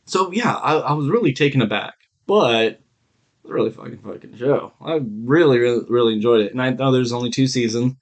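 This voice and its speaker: A man's voice: medium pitch, fairly quick. Casual, rambling man with mid-range voice. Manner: informal, sweary, rambling. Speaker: podcast co-host. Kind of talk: casual review chat.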